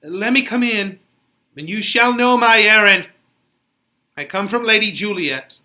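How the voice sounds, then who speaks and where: A man's voice: high-pitched, unhurried, bright, forceful tone, pitched above the performer's own voice, full character voice. A man voicing a fretful, woeful female nurse who laments in melodramatic Shakespearean verse. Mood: urgent. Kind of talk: dramatic Shakespeare reading.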